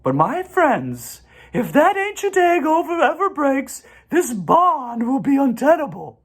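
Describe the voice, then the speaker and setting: Storyteller doing a classy smart girl spider, super high voice, proper wording. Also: sing-song, a little slow, heavily acted. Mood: knowing. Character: refined, clever, composed female spider.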